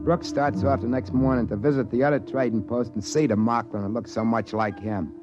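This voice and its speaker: A man's voice: medium pitch, fairly even intonation, brisk, natural voice. An American actor playing a folksy, easygoing trading-post man who narrates his tale plainly in a 1950s radio drama. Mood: wistful, plain. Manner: plain-spoken, folksy, colloquial. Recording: dull vintage radio recording, music underneath.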